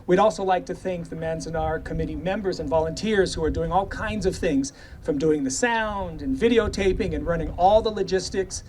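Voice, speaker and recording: Older man, higher-pitched, addressing an event. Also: fairly quick, darkish tone. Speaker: event co-host. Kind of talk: informal thank-you speech. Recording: live event, faint background noise. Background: faint ambient noise.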